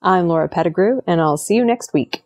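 A woman's voice: on the low side, lively intonation, a little fast, darkish tone. A woman reading scripted news narration as a news presenter. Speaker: news reader.

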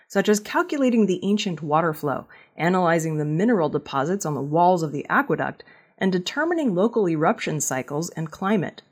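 A woman reads the news from a script in a slightly low register. Her voice has a husky grain, and her intonation moves in a lively way through each sentence as she narrates. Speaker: news reader.

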